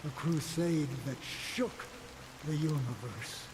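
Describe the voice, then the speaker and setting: Game cutscene: a tired, resigned ranger, slow measured lines, steady rain behind. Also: male voice, fairly high pitch, background noise. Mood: weary. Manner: slow, reflective, heavy with resignation. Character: weary, world-worn older male ranger. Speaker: game character.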